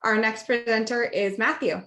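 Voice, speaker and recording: A woman's voice: a little high, fairly even intonation, normal speed, echoey room. A woman hosting the session, thanking a presenter and introducing the next one in an appreciative tone. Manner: warm and appreciative. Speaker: session host, speech clinician. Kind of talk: introducing speakers.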